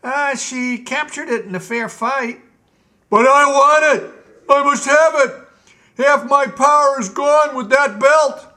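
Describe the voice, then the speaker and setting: A man puts on a shrill, high-pitched gnome king for a storybook, a petulant tyrant who yells his demands in a piercing, bright voice. Every line comes out loud and spoiled. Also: takes it slowly, exaggerated voice. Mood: furious. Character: tantrum-prone male gnome king, tyrant.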